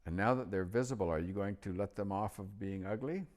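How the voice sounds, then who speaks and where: A man's voice: on the low side, fairly quick, darkish tone, voice pushed up, close to an everyday voice. A man voicing a curious young girl asking eager questions.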